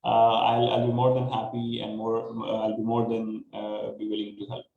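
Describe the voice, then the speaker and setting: A man with a Pakistani accent giving informal panel advice, haltingly repeating words and often checking in with "right?". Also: fairly low pitch, completely flat delivery, gravelly, mellow, muffled recording. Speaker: panelist, startup incubator staff. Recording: roomy, echoing call audio.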